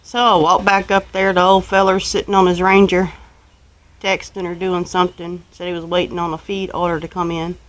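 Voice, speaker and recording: A woman with a Southern US accent telling a story casually, in a folksy, unhurried, colloquial way, as a homestead vlogger. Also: fairly low pitch, little pitch movement, poor audio. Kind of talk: casual first-person storytelling.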